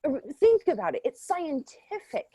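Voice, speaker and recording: A woman playing a smug, defensive professor in an improvised mock debate, lecturing haltingly and with emphasis. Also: sits high, very animated, dark tone, dry recording. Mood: indignant.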